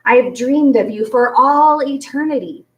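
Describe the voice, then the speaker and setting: A woman voicing a pompous, pedantic storybook boy with a high, thin, annoying voice and drawn-out, precise delivery. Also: lightly acted. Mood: corrective. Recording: roomy echo, distant from mic.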